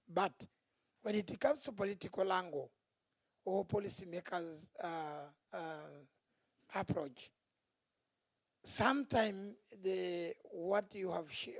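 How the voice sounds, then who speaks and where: A man with a Tanzanian accent asking spontaneous questions from the floor at a conference, halting and repeating himself. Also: high-pitched, creaky, breathy edge, no room sound. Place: indoor conference.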